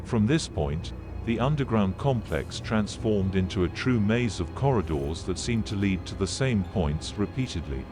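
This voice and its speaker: Deep-ish guy doing serious formal documentary narration, measured and factual, music playing behind him. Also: animated. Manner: measured, formal, matter-of-fact. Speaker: narrator of an analog horror video. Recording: played video with background music.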